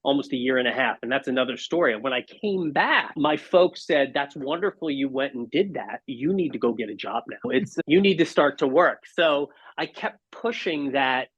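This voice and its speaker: A man's voice: medium pitch, expressive, normal speed, few pauses, a bit bright, breathy edge, no room sound, dull audio. A man speaking as a podcast guest, reflective and earnest, encouraging in his way of talking. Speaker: podcast guest, marketing executive. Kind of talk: reflective interview conversation.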